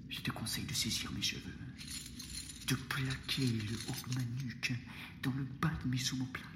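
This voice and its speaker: An actor playing a taunting, death-obsessed prisoner of war in a Roman dungeon, insidious and insistent, in an audio drama. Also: male voice, medium pitch, roomy sound, very noisy. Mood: hushed, menacing. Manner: insidious, insistent, halting with repeated phrases. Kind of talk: audio fiction acting.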